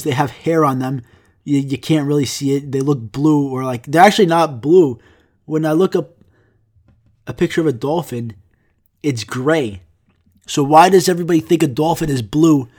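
Sick young man rambling profanely. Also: mid-range voice. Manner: profane, rambling, deadpan jokey. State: sick, congested. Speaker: podcast host. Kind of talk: casual rambling monologue.

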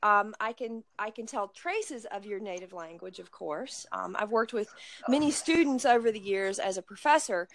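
A woman's voice: mid-range voice, animated, normal speed. A woman in her forties from the Blue Ridge Mountains with an Appalachian accent, chatting and encouraging a language learner. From Blue Ridge Mountains, United States. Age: 40s. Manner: encouraging, chatty, warm praise. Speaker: conversation partner. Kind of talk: casual conversation practice.

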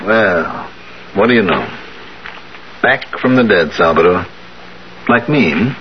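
A man's voice: low voice, expressive, slow pace. An older actor playing a sardonic, weary invalid father in a 1970s radio drama, with clipped delivery. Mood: bitter, sardonic. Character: bitter invalid old father in a wheelchair. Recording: dull, noisy vintage radio broadcast.

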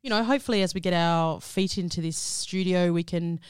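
A woman's voice: fairly low pitch, fairly quick, clean tone, no echo. An Australian woman co-hosting a community radio show, chatting casually, laughing often and using local slang, in a studio.

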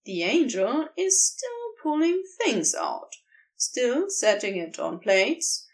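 A woman reading a story aloud as scripted narration, in a measured and expressive storytelling manner. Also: fairly high pitch, poor audio. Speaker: podfic reader.

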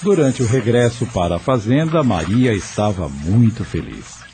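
A man's voice: lowish voice, very animated, normal speed, noisy background. A man delivering scripted dramatic narration as the narrator of a radio drama. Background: music.

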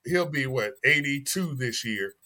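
A man in his early forties hosting a podcast, talking in an animated, emphatic way and often repeating phrases. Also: medium pitch, measured pace. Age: 40s. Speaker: podcast host. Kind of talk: casual chat.

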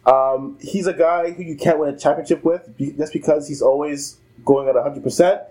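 Young man, podcast co-host, mellow warm tone, blunt, profane, casual sports chat. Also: a little high, normal speed, low-quality recording. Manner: blunt, profane, laughs easily.

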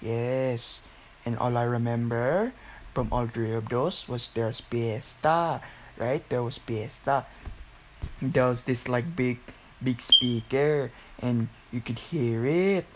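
Slow-talking teen with a Filipino accent. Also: mid-range voice, background noise. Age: teens, about 16. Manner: repetitive, exclaiming, Filipino interjections. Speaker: video creator.

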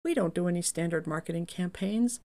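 A woman reading the answers of a calm older male company founder in an imagined interview, in a modest, measured way. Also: fairly low pitch, close to an everyday voice.

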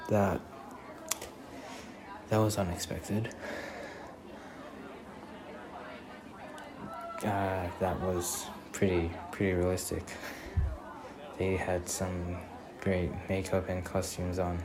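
A man playing a gentle, hesitant young boyfriend in a soft-spoken ASMR roleplay, reassuring his date. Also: sits low, level tone, slow. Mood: sheepish, hesitant. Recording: crowd ambience and noise behind voice.

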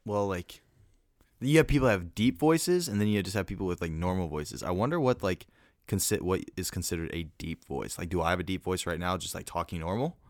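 A young man co-hosting a podcast, with a deep voice and blunt, deadpan replies. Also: a little fast, no echo, clean studio sound. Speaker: podcast co-host. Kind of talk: casual podcast chat.

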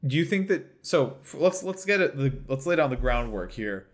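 A man's voice: medium pitch, lively intonation, normal speed. A man co-hosts a casual podcast, chatting about a show with a voice that swoops up and down. He laughs often and trips over his restarts. The talk stays loose and conversational. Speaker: podcast co-host. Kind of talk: casual chat recapping a reality show.

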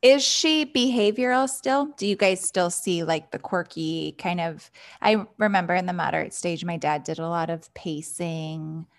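A woman co-hosts the interview and asks warm, empathetic follow-up questions. Her voice sits on the low side, and she comes in with short, caring prompts. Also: normal speed, few pauses. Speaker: podcast co-host.

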